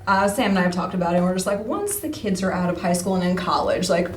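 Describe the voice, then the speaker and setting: A woman's voice: on the low side, quick pace. A middle-aged American woman chatting casually and informally as an interview guest, often dropping "like" into her sentences. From United States. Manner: informal, peppers talk with "like". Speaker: interview guest, amateur actor. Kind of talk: casual chat. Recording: roomy, distant, faintly noisy.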